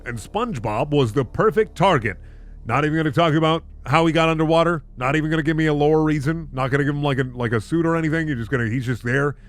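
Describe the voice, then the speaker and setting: A man's voice: on the high side, quick pace. A man hosting a comedic reading of bad fan fiction, narrating with incredulous, sardonic asides. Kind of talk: comedic narration with sarcastic commentary.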